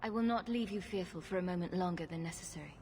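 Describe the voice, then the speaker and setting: A woman's voice: on the low side, fast, slight background noise, close to an everyday voice. Woman voicing a wry, confident adventurer. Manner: calm, direct, wry. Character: confident female adventurer hero, dry wit.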